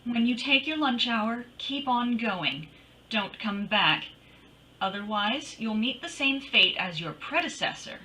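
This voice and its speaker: Woman acting a bright, swooping lady private eye. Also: a little high, animated, measured pace, a little hiss or hum, rough recording. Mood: wry, curious. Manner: breezy, teasing, wry. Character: bold, wisecracking female private detective narrating her case. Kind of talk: old-time radio detective drama acting.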